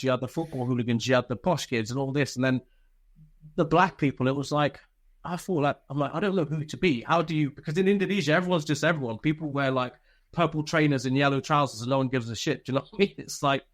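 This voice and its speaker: A man's voice: mid-range voice, fast. A man telling personal stories casually as an interview guest, chatty and informal, swearing freely and often chuckling. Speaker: interview guest, former soldier.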